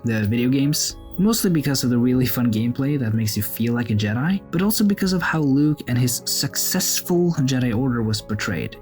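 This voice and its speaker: Guy reading his viewer message, thoughtful, bit halting, soft guitar behind. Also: mid-range voice. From Norway. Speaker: viewer contributor. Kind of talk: read-out personal statement.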